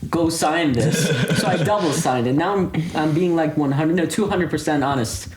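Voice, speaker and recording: Higher-voiced young man, very noisy room. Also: normal speed. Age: teenager or young adult. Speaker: student podcast co-host. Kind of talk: casual group chat. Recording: echoey room, loud background noise.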